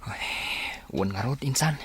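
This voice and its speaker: A man's voice: medium pitch, animated, unhurried, husky, no echo. A man with an Ilocano accent acting an earnest, resigned farm worker and devoted sweetheart in a radio drama.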